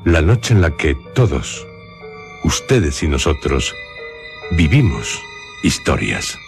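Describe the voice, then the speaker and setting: A man with a Castilian Spanish accent introducing a radio horror drama in a solemn, theatrical, suspenseful way, pausing between short phrases. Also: a bass voice, big pitch swings. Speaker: host and narrator of a radio horror drama series. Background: music under the voice.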